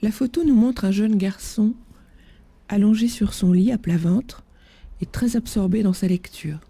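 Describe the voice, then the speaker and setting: A woman's voice: medium pitch, unhurried, dark tone, slightly rough, dry recording. A woman giving a reflective, descriptive narration.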